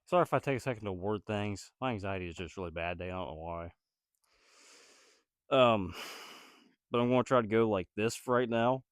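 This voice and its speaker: A man's voice: on the low side, brisk, pauses often, smooth, no echo, studio quality. A man with a cold-stuffed nasal voice, thinking aloud in a hesitant, rambling way as he works on a building project and talks to his viewers. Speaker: DIY builder vlogger. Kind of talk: casual self-talk while building.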